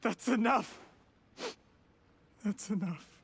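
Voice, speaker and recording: Actor as an animated, scheming young male official. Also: medium pitch, normal speed, a little hiss or hum, natural voice. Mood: agitated. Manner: formal, persuasive, theatrical. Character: ambitious, scheming young male official, smooth-talking and defensive. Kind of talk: dramatic video game voice acting.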